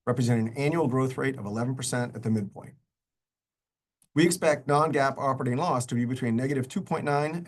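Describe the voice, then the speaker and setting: A man with a mid-pitched voice reads a prepared corporate earnings presentation. His delivery is formal and measured, in the businesslike way of a finance executive addressing investors. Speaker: company finance executive presenting quarterly results. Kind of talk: scripted earnings-call presentation.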